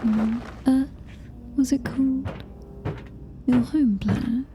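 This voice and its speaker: A British woman playing a coldly superior, menacing alien-human hybrid in a whispered ASMR horror roleplay, breathy, deliberate and taunting. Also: fairly high pitch, fairly even intonation, background noise. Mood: sinister, mocking.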